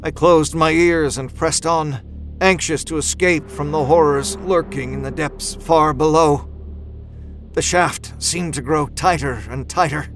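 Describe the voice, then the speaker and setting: A man's voice: a little high, steady tone, measured pace, faint music behind. An actor playing a desperate, exhausted man climbing out of an ice crevasse, telling the horror story in first person with intense drama. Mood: fearful, urgent. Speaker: audio fiction narrator. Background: musical score.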